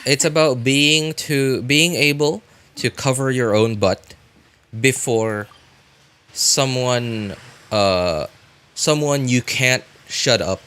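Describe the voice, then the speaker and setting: A man giving casual live game commentary as a streamer, rambling and halting, often breaking off mid-thought to react. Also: medium pitch, fairly bright tone, clear, resonant tone.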